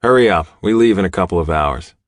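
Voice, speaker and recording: A man voicing a hot-headed, stubborn young outlaw biker in an audiobook, with a forceful, barking manner. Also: sits low, expressive, quick pace, no room sound. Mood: angry, defiant.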